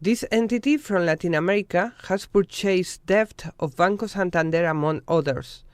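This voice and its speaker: A documentary narrator reading a scripted news report in a measured, formal way from a studio voice-over. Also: medium pitch, clean tone, dry recording.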